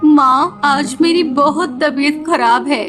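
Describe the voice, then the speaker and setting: A woman's voice: sits high, slow. A woman voicing a sad, meek little girl bird in a children's cartoon, plaintive and pleading. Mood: weak, meek. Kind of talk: Hindi children's cartoon acting. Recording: background music bed.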